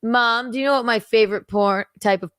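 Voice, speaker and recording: A woman's voice: on the high side, brisk, fairly bright tone, clean tone, no echo, clean studio sound. A young woman from the American South hosting a late-night chat show, joking and laughing as she tells stories. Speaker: host.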